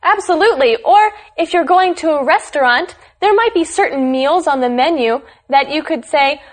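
A woman's voice: high-pitched, moderate pace. A woman co-hosting a scripted English lesson, explaining how words are used.

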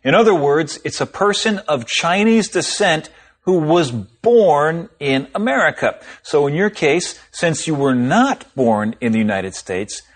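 A man explaining a term in a scripted language lesson, lively and clear. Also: a little high, unhurried.

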